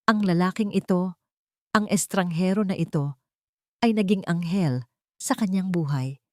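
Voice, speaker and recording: A woman with a fairly low voice reads a dramatic audiobook story. The delivery is scripted narration, and the sound is dry and close, with little room in it. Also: unhurried, a little mellow, no room sound.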